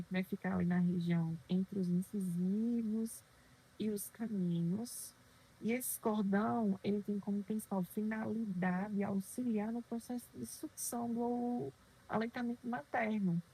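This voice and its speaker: A woman's voice: medium pitch, fairly even intonation, a little slow, mellow, husky, rough recording. A woman lecturing on children's dentistry, explaining terms patiently and asking questions she then answers herself. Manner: didactic, poses questions and answers them, frequent "né?".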